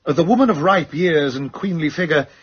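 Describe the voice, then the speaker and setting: An unflappable English gentleman's manservant in a radio play. His voice is fairly high and a bit husky, formal and deferential throughout. The recording sounds slightly muffled. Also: expressive, normal speed. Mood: deferential, measured. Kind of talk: radio drama acting.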